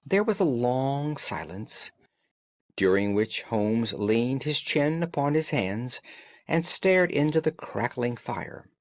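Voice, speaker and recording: A man reading classic fiction as an audiobook narrator, in a measured, dramatic storytelling manner. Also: medium pitch, lively intonation, no room sound.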